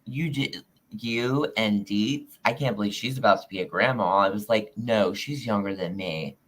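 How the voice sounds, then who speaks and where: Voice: mid-range voice, moderate pace, a bit bright. A panel guest chatting casually and complimenting others on a live stream.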